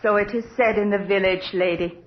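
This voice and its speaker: A woman's voice: mid-range voice, steady tone, fairly quick, natural voice. An actress playing a mocking, devil-worshipping old witch in a radio horror drama, with a scolding, theatrical delivery. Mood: muttering. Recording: dull, hissy vintage radio recording.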